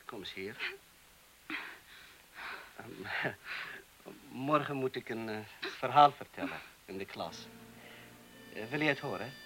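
An actor in a radio drama playing a gentle young student teacher who speaks soothingly. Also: male voice, medium pitch, animated, background noise. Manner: soothing, slow.

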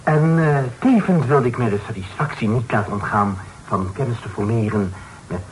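An actor playing an affected, very formal gentleman caller, speaking ceremoniously in a period radio drama. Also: mid-range voice, moderate pace, lightly acted, low-quality recording. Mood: courteous, formal. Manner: affected, ceremonious, French-sprinkled speech. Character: mannered gentleman suitor paying a formal call. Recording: old radio recording with faint noise.

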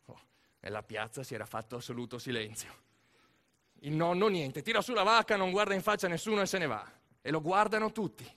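Male theatre narrator, expressive storytelling. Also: high voice, a little fast. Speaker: actor-narrator of a solo theatre piece. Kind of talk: dramatic scripted narration, theatrical monologue.

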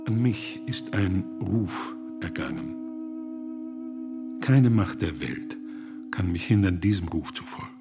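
A man's voice: low-pitched, animated, slow, pauses often, full character voice. An actor playing an earnest male pastor and humanitarian in a radio play, firm and principled. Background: music.

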